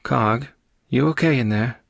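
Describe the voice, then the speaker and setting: A man's voice: medium pitch, very animated, brisk, husky, dry recording, pitched above the performer's own voice. A male narrator puts on a bold alien woman from a space adventure story, lifted well above his natural register. The delivery is urgent and commanding, with an exasperated edge, recorded very dry. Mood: alert.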